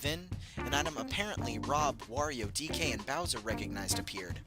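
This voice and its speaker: A man's voice: medium pitch, lively intonation, normal speed. A man casually reading aloud a story he wrote as a child, with amused, self-mocking asides and music playing behind. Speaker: reader of his own childhood book.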